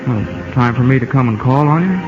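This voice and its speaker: An actor playing a shy, modest young country ballplayer who stammers boyishly in a 1950s radio drama. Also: male voice, medium pitch, expressive, brisk, music in the background, close to an everyday voice. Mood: bashful. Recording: muffled, noisy old radio recording.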